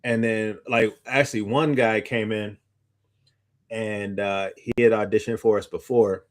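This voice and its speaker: A man's voice: on the low side, steady tone, a little slow. A man chatting casually as a podcast co-host, rambling through anecdotes in an informal way. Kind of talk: casual conversational chat.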